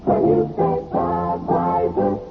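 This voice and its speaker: A woman's voice: extremely low pitch, huge pitch swings, slow. A woman performs a sung jingle for a 1970s radio commercial. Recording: dull vintage radio, loud music. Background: loud brass jingle music.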